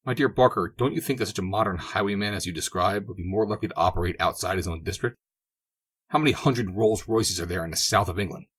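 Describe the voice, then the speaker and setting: A man voicing a calm country gentleman of nearly fifty who speaks in a cool, measured way. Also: sits low, slightly rough.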